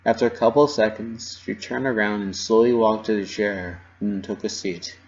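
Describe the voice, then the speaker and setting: A man narrating a first-person fan fiction story with an expressive, dramatic delivery. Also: on the low side, normal speed, highs cut off.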